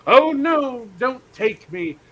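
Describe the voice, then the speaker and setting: A man's voice: very high voice, very animated, slow, bright, forceful tone, pitched above the performer's own voice, exaggerated voice, rough recording. A man reading a storybook and voicing an anxious middle billy goat brother who pleads. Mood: anxious pleading.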